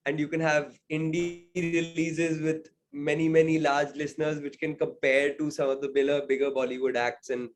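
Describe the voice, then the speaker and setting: A man's voice: on the high side, little pitch movement, normal speed, hardly any pauses. A man being interviewed as a singer-songwriter, talking casually and thoughtfully, hedging often with "sort of" and "I think".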